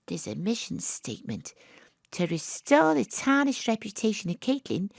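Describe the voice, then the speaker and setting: Audio drama lead: an excitable amateur woman detective, highly animated narrator, intense and theatrical, dry studio sound. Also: no echo. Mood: eager, bluffing. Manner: theatrical, breathless self-talk with dramatic repetition. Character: eccentric, excitable woman amateur sleuth narrating her investigation. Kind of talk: first-person audio drama narration and acting. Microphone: close home studio microphone.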